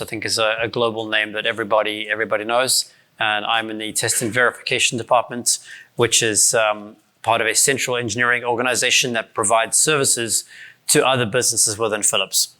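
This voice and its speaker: South african guy in his 40s giving a conference talk, bright voice, lots of ums and restarts, bit hoarse. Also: fairly low pitch, level tone, brisk. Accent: South African, softened by years abroad. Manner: casual, many restarts and fillers, self-deprecating. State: voice strained from shouting the night before. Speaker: conference speaker. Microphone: handheld or stand mic.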